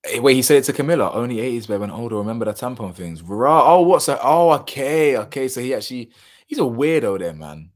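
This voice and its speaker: A young man, chatting casually on a live stream and reading out viewer comments, slangy and animated, his voice a little hoarse. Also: medium pitch, quick pace. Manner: slangy, animated, laughs often, drifts between loud bursts and mumbles. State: slightly hoarse.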